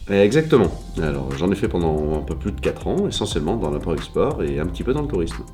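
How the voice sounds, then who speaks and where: A male actor plays a courteous job seeker in an instructional phone sketch. His voice is low and mellow, with animated intonation. He stays polite, eager and persistent, with faint music underneath. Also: fast talker. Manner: courteous, clearly phrased, persistent. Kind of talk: acted phone-call sketch.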